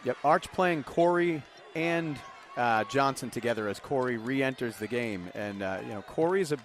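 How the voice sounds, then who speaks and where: A man's voice: medium pitch, expressive, moderate pace. A man giving live color commentary on a basketball broadcast, breaking down plays in a conversational, colloquial way. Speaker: color analyst. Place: indoor basketball arena. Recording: live arena broadcast with game noise.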